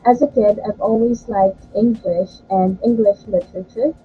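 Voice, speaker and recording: Young woman, from the Philippines, Tagalog native, earnest steady talk, slightly muffled call audio. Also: medium pitch, moderate pace, soft background music. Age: young adult. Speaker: guest language tutor. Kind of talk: casual interview over video call. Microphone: video call.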